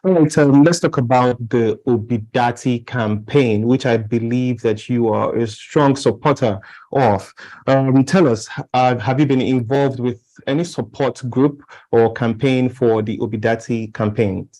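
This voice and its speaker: A man's voice: mid-range voice, measured pace, a little mellow, poor audio. A man hosting a live talk show and interviewing guests. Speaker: programme host.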